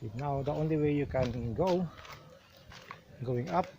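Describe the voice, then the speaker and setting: A man with a Filipino accent narrates his travel video outdoors at a measured, slow pace. His voice sits a little high, and he explains each sight patiently, backing up to restart a word now and then. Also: mellow, slight background noise, poor audio. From Philippines. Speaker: travel vlogger. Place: outdoors on a hilltop shrine.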